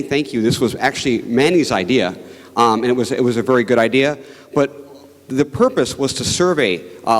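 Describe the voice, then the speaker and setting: A man presenting survey results informally as an academic at a conference, explanatory and repeating words as he goes. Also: medium pitch. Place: indoor event hall with microphone. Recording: echoey hall, long reverb.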